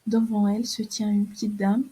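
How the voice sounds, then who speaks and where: A woman narrating a children's fairy tale for a cartoon in a calm, clear storytelling manner. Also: medium pitch, normal speed, poor audio.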